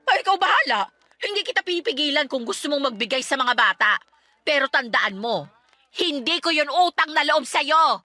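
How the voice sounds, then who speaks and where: A woman playing a defiant, sharp-tongued estranged wife and mother in a radio drama, sarcastic and combative. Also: sits high, expressive, moderate pace, soft background music. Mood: irritated, dismissive. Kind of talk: Tagalog radio drama acting.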